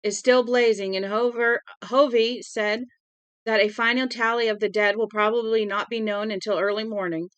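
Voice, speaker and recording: A woman's voice: on the high side, steady tone, moderate pace, fairly bright tone, no echo, lo-fi sound, lightly acted. Woman reading as a male radio newscaster. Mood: urgent. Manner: urgent, formal news delivery.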